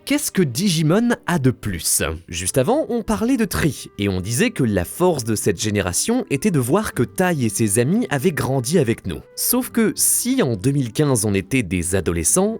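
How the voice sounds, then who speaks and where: A man's voice: medium pitch, wildly swooping pitch, normal speed, few pauses, a little mellow. A man in his twenties narrating a scripted video essay with earnest, dramatic delivery. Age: 20s. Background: faint music.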